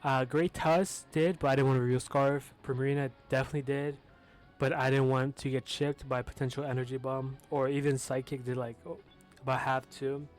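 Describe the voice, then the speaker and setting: Casting note: young guy with a noticeable lisp, relaxed and offhand, faint music behind. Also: mid-range voice, a little fast. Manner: casual, with fillers and swearing. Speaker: competitive game commentator. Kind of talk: casual match commentary.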